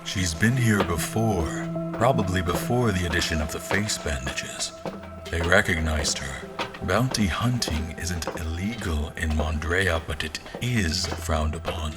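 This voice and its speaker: Man as a wry officer narrating his adventure, low voice, measured storybook wording, music underneath. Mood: wary. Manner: literary, descriptive, measured. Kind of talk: scripted first-person narration.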